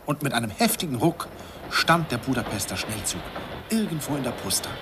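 A man's voice: mid-range voice, sing-song, fairly quick, close to an everyday voice. An actor narrating as an older man wistfully recalling his youth in a classic radio play. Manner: wistful storytelling, chuckling. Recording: hissy old radio recording.

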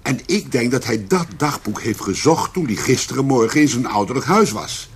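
An actor playing a determined, brooding police commissioner in a radio drama. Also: male voice, mid-range voice, expressive, normal speed, husky. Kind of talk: Dutch radio drama acting.

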